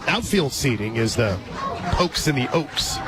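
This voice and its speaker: An American man doing live radio play-by-play of a softball game, chatting conversationally between pitches. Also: very animated, a little slow. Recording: loud crowd and music behind outdoor broadcast. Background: ballpark crowd and loud music.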